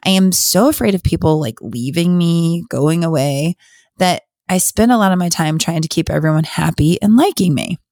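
A middle-aged woman hosting her own podcast, talking in an open, confessional, conversational way, her voice slightly hoarse. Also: lowish voice, moderate pace, darkish tone, no room sound, clean studio sound. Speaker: podcast host. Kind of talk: casual solo podcast talk.

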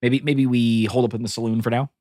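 A man playing a tabletop role-playing game, thinking out loud in casual, halting talk. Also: mid-range voice, little pitch movement, dry recording, clean studio sound. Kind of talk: casual improvised table chat.

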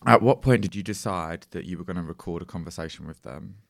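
A man's voice: low-pitched, quick pace, creaky, a bit bright, no room sound, studio quality. A man interviewing a guest, asking plain questions and sometimes repeating himself as he asks.

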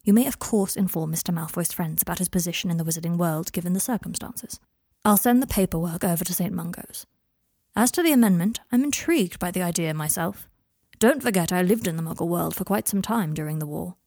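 Woman as a grave, courteous male minister, husky. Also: lowish voice, a little mellow, no room sound, studio quality. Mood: measured, diplomatic. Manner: measured, formal, courteous. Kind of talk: audiobook character voice.

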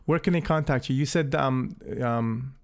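A man with an Italian accent hosting a podcast, talking haltingly with many fillers. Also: medium pitch, creaky. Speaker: podcast host. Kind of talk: casual podcast chat.

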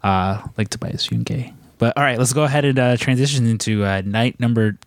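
A man's voice: mid-range voice, normal speed, dry recording. A man hosting a casual podcast on a cruise, joking and swearing as he rambles through stories. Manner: joking, profane, rambling storyteller. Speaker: podcast host. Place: aboard a cruise ship.

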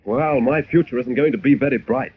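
An actor playing an anxious, earnest playwright in an old-time radio drama. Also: male voice, medium pitch, a little fast, natural voice. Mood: earnest, insistent. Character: anxious, earnest playwright defending his work. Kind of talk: 1940s radio acting. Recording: muffled, hissy old radio recording.

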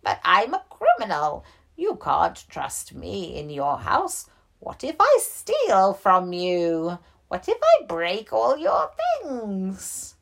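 A woman's voice: mid-range voice, wildly swooping pitch, slow, vocal fry, voice pushed up, full character voice. A woman voicing a stubborn, boastful old male bear who is a prisoner, in a bedtime story. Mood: defiant. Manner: bluffing, insists he is never scared.

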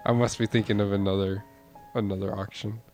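A man's voice: fairly low pitch, little pitch movement, fairly quick, a little mellow, soft background music. Laid-back playful man, podcast co-host. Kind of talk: casual chat.

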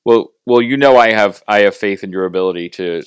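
A man's voice: fairly low pitch, a little fast, clear, resonant tone, no room sound. A man hosting a podcast as a coach, speaking casually and encouragingly to his guest. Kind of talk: casual interview talk.

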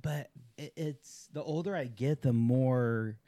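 A man's voice: medium pitch, takes it slowly, mellow, no room sound. A man in his forties hosting a podcast, talking frankly and reflectively with a lot of swearing. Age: 40s. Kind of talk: candid podcast conversation.

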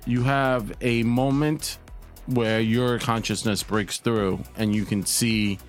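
A man narrating a tabletop roleplaying game as game master, in a measured, descriptive way with pauses. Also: medium pitch, fairly even intonation, faint music behind.

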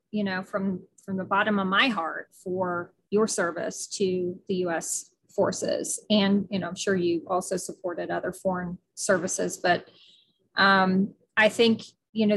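A woman's voice: medium pitch, rough recording. Chatty woman podcast host. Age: middle-aged. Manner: warm, enthusiastic, many fillers and restarts. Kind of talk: casual interview.

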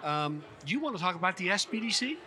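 A man hosting an on-location interview, talking in a folksy, effusive and halting way. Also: high voice, sing-song. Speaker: podcast host. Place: busy conference venue at a hotel. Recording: clear background noise on location.

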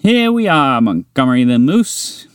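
A man voicing a small, excitable, chatty mouse in a bedtime story, eager and bubbly. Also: mid-range voice, clear, resonant tone, no echo, clean studio sound, pitched above the performer's own voice. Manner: eager, bubbly, quick to laugh. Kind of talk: storybook character voice.

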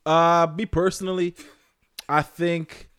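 A man's voice: fairly high pitch, level tone, slow pace, a bit bright, clear, resonant tone, dry recording, clean studio sound. A man bantering casually with friends as a podcast co-host, often repeating his phrases.

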